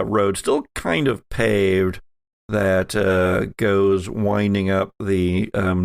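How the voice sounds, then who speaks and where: Male game master, conversational, slow delivery, gravelly, close dry sound. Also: on the low side, no room sound. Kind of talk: narrating a tabletop roleplaying game.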